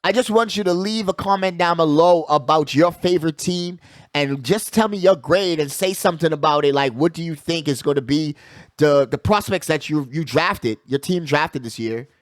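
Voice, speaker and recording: A man's voice: on the high side, normal speed, dry recording. A man hosting a sports show with casual, slangy commentary, hyping his points and repeating phrases for emphasis. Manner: slangy, hype-man delivery with frequent check-in phrases.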